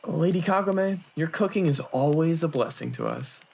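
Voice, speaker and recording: A man voicing a smooth-talking, unrepentant flirt with courtly, self-satisfied manners in an anime-style audio drama. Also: fairly high pitch, expressive, normal speed, darkish tone, slight background noise. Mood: suave.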